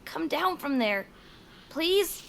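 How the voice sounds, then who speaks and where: A woman's voice: high-pitched, expressive, takes it slowly, gravelly, background noise, exaggerated voice. A woman playing an earnest alien disguised as a preteen boy, with formal, stilted wording, in a children's audio drama. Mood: pleading.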